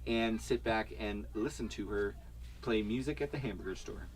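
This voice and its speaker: A man's voice: on the low side, normal speed. A man co-hosting a casual homestead livestream, rambling playfully and laughing as he talks. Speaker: livestream co-host.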